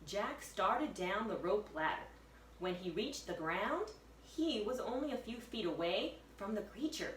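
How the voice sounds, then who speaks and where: A woman, a first-grade teacher, reading aloud to young pupils with expressive, suspenseful storytelling. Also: mid-range voice, unhurried. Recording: echoey room, distant mic, faint noise.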